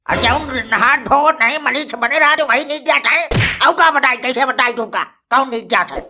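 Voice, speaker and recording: Woman doing grumpy old village grandpa, eastern UP accent, high creaky voice, scolds everyone, cartoon, noisy audio. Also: moderate pace, bright, forceful tone. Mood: exasperated. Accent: eastern Uttar Pradesh. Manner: scolding, rustic, emphatic. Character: stubborn, hot-tempered old Brahmin grandfather. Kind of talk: cartoon comedy acting.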